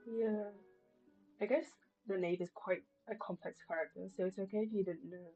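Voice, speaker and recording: Girl streamer casually commenting on a game, medium pitch, bit muffled, soft music in back. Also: steady tone, normal speed, slightly rough. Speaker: game livestreamer.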